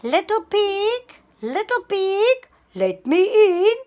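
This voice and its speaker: Woman doing a big bad wolf, greedy and menacing, slow drawn-out loud threats, storybook read-aloud. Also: extremely high pitch, very animated, voice pushed up, full character voice.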